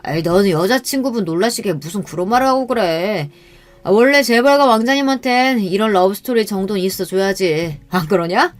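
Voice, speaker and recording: A woman's voice: medium pitch, a little fast, faint music behind, close to an everyday voice. Woman doing a smug teasing rich friend.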